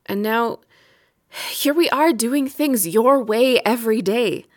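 A resentful, unyielding wife in an audio drama. Her voice sits somewhat high. She speaks in a measured, pointed way, weary and wry, with bitterness held just under the surface. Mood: bitter.

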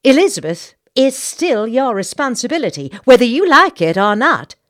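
A woman's voice: on the high side, sing-song, normal speed, a bit bright, pitched above the performer's own voice. A woman voicing a fretful, bossy, gossipy Southern society matron in an audiobook, with an American Southern accent and an indignant, insistent manner.

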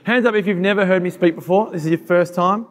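Hoarse, fast-talking man on stage. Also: high voice. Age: 30s. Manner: fast, animated, addresses the audience directly. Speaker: keynote speaker. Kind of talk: motivational talk on stage.

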